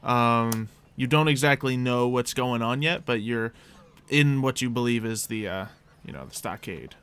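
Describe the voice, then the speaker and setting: A man's voice: mid-range voice, lively intonation, measured pace, a bit bright, clean tone. A man working as game master, narrating a tabletop game in a casual, improvised way.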